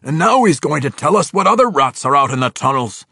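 A blustering, contemptuous dwarf, lifted high above the narrator's own voice and loud. His gruff brogue is close and dry. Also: sing-song, brisk, no echo, full character voice. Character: hot-tempered male dwarf, contemptuous.

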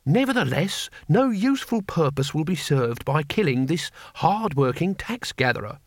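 A man voicing a courteous, bumbling old man who speaks haltingly and searches for words, in a comic fantasy audiobook. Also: fairly high pitch, big pitch swings, no echo, clean studio sound. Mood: reasoning. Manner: halting, word-searching, overly polite.

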